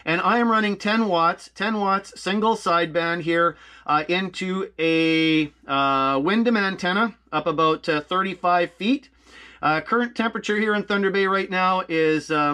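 Guy, ham radio hobbyist, kinda higher voice, casual chatty narration. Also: moderate pace, sharp tone. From Canada. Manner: spells out call signs in phonetic alphabet. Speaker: amateur radio operator and video host.

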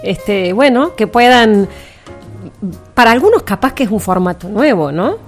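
Higher-pitched Argentine woman on radio. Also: big pitch swings, measured pace, faint music behind. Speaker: radio show co-host. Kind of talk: radio magazine talk and news reading. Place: radio studio.

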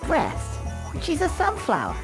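A man's voice: very high-pitched, wildly swooping pitch, measured pace, gravelly, heavily acted. A young squirrel hero for a game cutscene. He sounds offhand and dry, hesitant and sceptical, with music behind. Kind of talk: video game cutscene acting.

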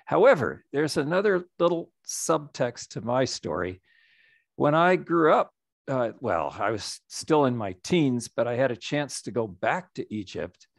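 A middle-aged man, a nonprofit executive director, giving a reflective, measured story-led presentation over a video call. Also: mid-range voice, very animated, creaky, dry recording, clean studio sound. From United States.

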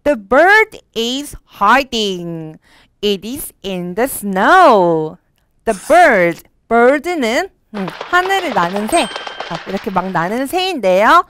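A young woman teaching children English from a storybook, reading each line slowly and clearly and then explaining it. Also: a little high, fairly bright tone, no echo, clean studio sound. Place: studio with a green screen.

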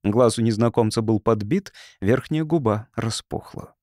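A man reading a humorous science fiction audiobook in an expressive, dramatised way. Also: on the low side, moderate pace, dry recording, studio quality. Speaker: audiobook narrator. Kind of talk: scripted narration of a humorous science fiction story.

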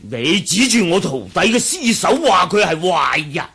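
An actor playing a stern, irascible Taoist priest in a radio drama, husky and accusing. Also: male voice, high-pitched, animated, moderate pace, a crowd in the background. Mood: shocked, angry. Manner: accusing, forceful. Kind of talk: Cantonese radio drama acting.

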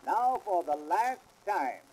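Formal, lively-pitched dentist. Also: male voice, slow, exaggerated voice, poor audio. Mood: reassuring. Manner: formal, precise phrasing. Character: polite, formal male dentist, patient and businesslike. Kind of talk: 1910s comic sketch record acting. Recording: hissy old 78 rpm comedy record.

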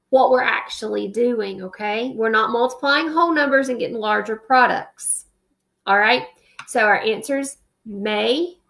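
Woman teacher reading aloud on webcam. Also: a little high. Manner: expressive storybook reading, then plain classroom explaining. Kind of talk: read-aloud and math lesson. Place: living room at home, indoors. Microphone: webcam at close range.

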